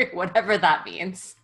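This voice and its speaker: Thirtyish woman guest, bubbly and self-mocking, lowish pitch. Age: 30s. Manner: bubbly, self-deprecating, quick asides. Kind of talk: casual interview conversation.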